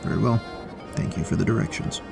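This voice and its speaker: A man's voice: deep voice, fairly quick, gravelly, darkish tone, faint music behind. A man playing a businesslike, terse dungeon inspector with a formal, clipped manner in a fantasy audio drama.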